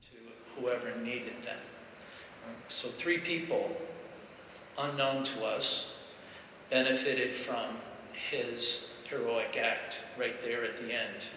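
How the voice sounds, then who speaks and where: A man recounting a memory in halting, unscripted phrases. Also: medium pitch, fairly even intonation, sharp tone. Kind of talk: slow, halting spoken recollection. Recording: echoey hall, distant mic, faint noise.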